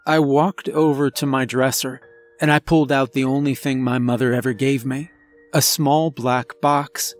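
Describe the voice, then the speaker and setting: A man voicing a remorseful werewolf husband and father confessing in a grave, halting, emotional horror story. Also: mid-range voice, fairly even intonation, close to an everyday voice. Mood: anguished, regretful. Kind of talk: dramatic first-person horror storytelling. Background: background music.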